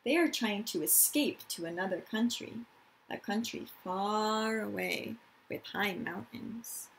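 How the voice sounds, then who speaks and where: A woman's voice: medium pitch, a little slow, vocal fry, a little hiss or hum, poor audio. A woman, a teacher of young children reading aloud and explaining things simply, stressing key words. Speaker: elementary school teacher.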